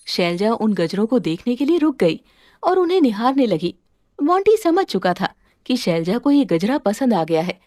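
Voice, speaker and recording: A woman's voice: fairly high pitch, mellow, no room sound, studio quality. A woman reading a scripted moral story as its narrator, with music under her voice.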